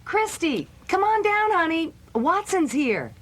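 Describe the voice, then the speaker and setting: A woman's voice: very high-pitched, very animated, slow, full character voice. A woman playing an American mother in a children's audio drama, pleasant but exasperated, firm and emphatic. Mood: cheerful, coaxing.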